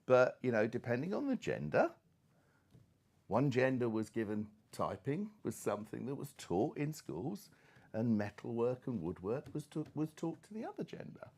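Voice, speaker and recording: A man's voice: mid-range voice, big pitch swings, normal speed, a little mellow. A middle-aged man speaking as an expert panellist in a conversational, discursive way, with frequent asides.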